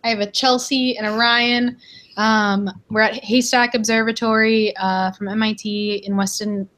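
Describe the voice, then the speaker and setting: A woman's voice: on the high side, level tone, moderate pace, fairly bright tone. Hesitant young woman asking a question. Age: young adult. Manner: hesitant, polite, many fillers. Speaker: audience member asking a question. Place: office with a window.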